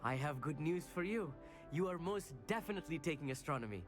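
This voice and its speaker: Male actor as bookish teen boy wizard, very high voice, swinging intonation, earnest, enthusiastic, polite. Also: animated, brisk, over music, lightly acted. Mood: eager, friendly. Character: eager, bookish teenage boy student wizard. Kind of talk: scripted game dialogue.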